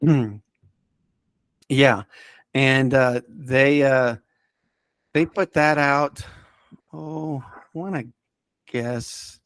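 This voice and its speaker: Man chatting haltingly in a dry-sounding space. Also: mid-range voice, fairly bright tone, no echo. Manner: halting and rambling, searching for words. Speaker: podcast guest reviewing audio dramas. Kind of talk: casual unscripted chat, recommendations.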